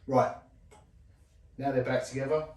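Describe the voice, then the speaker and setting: A man's voice: mid-range voice, little pitch movement, unhurried, darkish tone. A man presenting a casual hands-on bike review, thinking aloud in an informal way. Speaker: bike restorer presenting his video. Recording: roomy echo, voice drifting from mic.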